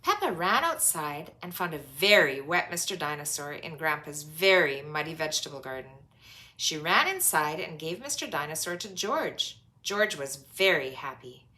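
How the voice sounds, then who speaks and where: A woman reading a children's storybook aloud in an expressive, emphatic way, drawing out words for young listeners. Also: low voice, moderate pace.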